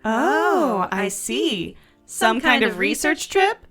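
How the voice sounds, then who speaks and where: A woman's voice: a little high, sing-song, slow pace, a bit bright, faint music behind, full character voice. A woman playing a brassy, motherly diner hostess in an audio drama, with a sassy, folksy way of talking. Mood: teasing, probing.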